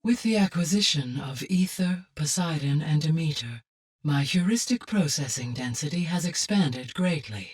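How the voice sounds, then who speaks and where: Calm motherly game ai, low soft slightly husky voice, formal and precise, sounds a bit distant. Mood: calm, explanatory. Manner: measured, precise, formal diction. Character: female artificial intelligence, calm, courteous, motherly machine mind. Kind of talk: scripted video game voice acting.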